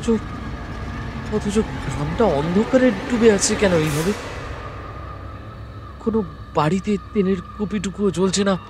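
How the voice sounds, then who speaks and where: A man's voice: sits high, measured pace, pauses often, background noise. A man playing a terrified captive in a horror audio drama, stammering and repeating himself in fear. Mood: fearful bewilderment. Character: terrified captive man, pleading with his shadowy captors. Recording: music under the voice. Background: music.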